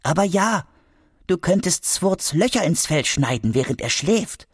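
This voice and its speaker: A man voicing a calm, clever young schoolboy in a children's audiobook, lightly raising his voice. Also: fairly high pitch, unhurried, breathy edge, dry recording, clean studio sound, voice pushed up, exaggerated voice. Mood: reassuring. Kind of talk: audiobook character voice.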